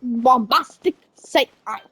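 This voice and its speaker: A woman's voice: fairly high pitch, takes it slowly, fairly bright tone, husky. A woman streaming a game playthrough, reacting casually and playfully in slang with repeated catchphrases. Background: game music.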